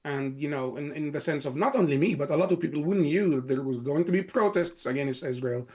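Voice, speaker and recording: A middle-aged man from Egypt speaks as an interview guest in a somewhat high register. He talks in long stretches, peppered with "you know" and false starts. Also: brisk, darkish tone. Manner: talks in long runs with many "you know" fillers and restarts. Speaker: guest, security analyst. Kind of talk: interview answers.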